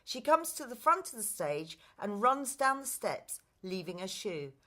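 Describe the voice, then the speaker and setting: Bright-voiced woman narrator. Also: medium pitch, sing-song, measured pace. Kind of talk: scripted narration of stage directions.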